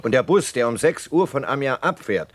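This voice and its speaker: An actor in a German radio play playing a talkative bus conductor who is pedantic about punctuality. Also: male voice, mid-range voice, very animated, fairly quick. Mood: eager, self-assured. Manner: precise, eager, talkative.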